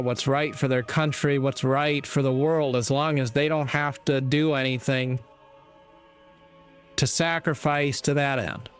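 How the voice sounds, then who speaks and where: A man giving a preachy opinion monologue in deliberate, halting phrases with rhetorical asides. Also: fairly high pitch. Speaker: commentator delivering a moral monologue.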